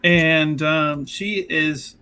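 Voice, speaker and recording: A man casually recapping a tabletop game as a player, halting and wryly understated. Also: fairly high pitch, steady tone, sharp tone, clear, resonant tone. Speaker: player on an actual play show. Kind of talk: casual recap and character introduction.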